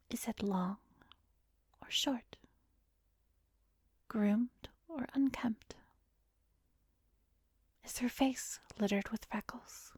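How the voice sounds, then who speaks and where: A woman playing a calm, coaxing female therapist in a whispered ASMR hypnosis roleplay, soothing and measured, with a soft breathy voice close to the microphone. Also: on the high side, dry recording, heavily acted. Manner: slow, soothing, measured guiding with pauses. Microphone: close microphone, dry recording.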